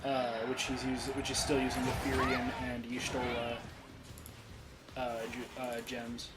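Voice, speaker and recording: A man's voice: mid-range voice, completely flat delivery, measured pace, heavy background noise. Rambling male game commentator. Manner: rambling, full of restarts and fillers. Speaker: let's play commentator. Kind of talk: casual gameplay commentary. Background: game music.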